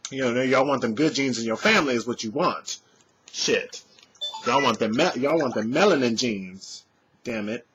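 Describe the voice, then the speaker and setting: A man in his thirties, a vlogger, telling a casual story to his webcam in a small room at home, animated and swearing freely. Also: mid-range voice, normal speed, over music. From California. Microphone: webcam at desk distance.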